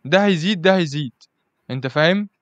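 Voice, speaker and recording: A man's voice: a little high, quick pace, no echo. A man with an Egyptian accent hosting a casual podcast interview, chatty and constantly asking "you understand?". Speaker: podcast host.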